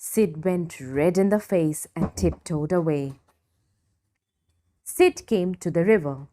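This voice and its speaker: A woman reading a children's story expressively, with deliberate dramatic emphasis for young listeners. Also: lowish voice, mellow. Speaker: storybook reader.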